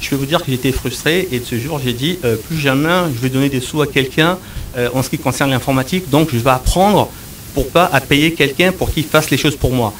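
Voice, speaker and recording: Male guest from Congo, middle-aged, halting delivery full of fillers, a bit off-mic with faint rumble behind. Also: fairly bright tone, distant mic, rough recording. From Republic of the Congo. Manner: hesitant, many fillers and repeated words. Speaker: enterprise architect, guest. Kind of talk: interview answers.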